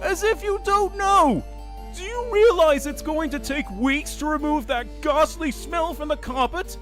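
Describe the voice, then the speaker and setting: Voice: sits high, unhurried, fairly bright tone, faint music behind. An actor voicing an angry, haughty male pony Lord Chamberlain, indignant and sarcastic, in a dramatic fan fiction reading. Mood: outraged, sarcastic.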